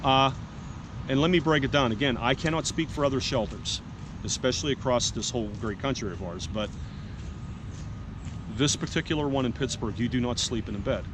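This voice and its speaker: A man's voice: medium pitch, brisk. A man talking frankly and plainly in a casual vlog, with a congested, stuffy-headed voice, recorded outdoors in a city park. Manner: frank, plain-spoken, rambling asides. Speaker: homeless vlogger. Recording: outdoor noise in the background.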